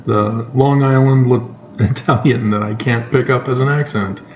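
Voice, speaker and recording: A man with a North American accent giving informal, sarcastic news commentary, halting often mid-sentence. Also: mid-range voice, steady tone, highs cut off. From North America, moved around the United States and Canada. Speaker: solo commentator. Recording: echoey room with faint background noise.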